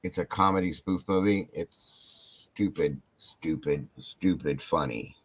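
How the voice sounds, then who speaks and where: A man hosting a casual podcast, rambling and animated, talking to viewers in the chat. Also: low-pitched, slow pace, husky. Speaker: podcast host.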